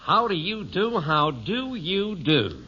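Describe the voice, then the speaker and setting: A man's voice: sits high, sing-song, slow pace, bright, forceful tone, slightly rough, heavily acted. An actor playing a slick, over-friendly salesman with ingratiating patter in an old-time radio sitcom. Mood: eager. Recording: muffled vintage radio recording.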